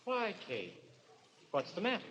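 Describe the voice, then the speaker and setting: An actor playing a deranged killer who believes a destroyer god possesses him, fervent and halting in old radio drama style. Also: male voice, high-pitched, wildly swooping pitch, fairly bright tone, breathy edge, exaggerated voice. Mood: eerily gentle. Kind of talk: 1940s radio drama acting. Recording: dull, noisy old radio recording.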